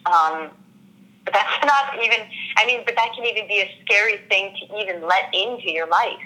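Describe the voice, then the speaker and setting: An actress in an interview, talking through her character in an earnest, reflective way and often pausing to search for words. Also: mid-range voice, bright, forceful tone, rough recording. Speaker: actress discussing her role. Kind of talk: interview answers.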